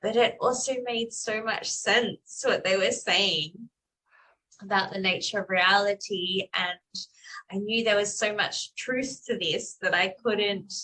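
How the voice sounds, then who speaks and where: A young woman with an Australian accent tinged by South Africa, talking in a clear, bright and forceful voice. She chats loosely and laughs often as a guest on a video call. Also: mid-range voice, steady tone, unhurried, rough recording. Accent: Australian with South African influence. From Perth, Western Australia. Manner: chatty, laughs often, frequent fillers. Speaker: interview guest. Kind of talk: casual conversational interview.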